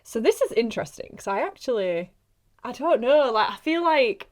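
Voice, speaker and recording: A woman's voice: a little high, lively intonation, moderate pace. A woman chatting casually and informally as an actress on a podcast. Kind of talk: casual chat.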